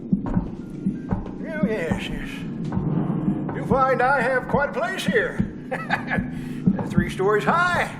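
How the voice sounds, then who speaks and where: A man's voice: extremely high pitch, huge pitch swings, extremely slow, pauses often, full character voice. A man playing a doddering, oily older employer in an old-time radio horror play, stammering and repeating himself with fussy, giggly geniality. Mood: oily, solicitous. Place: live stage performance at a winery. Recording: live radio play, loud music behind.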